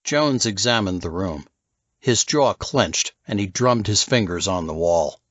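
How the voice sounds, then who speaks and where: A man's voice: deep voice, expressive, a little slow, slightly rough, dry recording, highs cut off. A man reading a novel as scripted narration, telling the story as an audiobook narrator.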